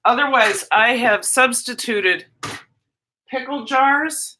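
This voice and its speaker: A woman from Minnesota with her home accent, talking loosely and jumping between thoughts as she answers viewers live. Her voice sits a bit high and rings bright. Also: takes it slowly, a little hiss or hum, poor audio. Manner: chatty, rambling, self-interrupting. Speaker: teacher and livestream host. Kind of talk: casual livestream chat, reading viewer comments.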